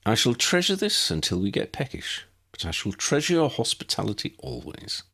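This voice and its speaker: A man voicing a courtly, grandiloquent gentleman wizard in an audiobook, speaking in a florid, formal manner. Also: medium pitch, very animated, normal speed, gravelly, husky, voice pushed up, exaggerated voice. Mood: gracious.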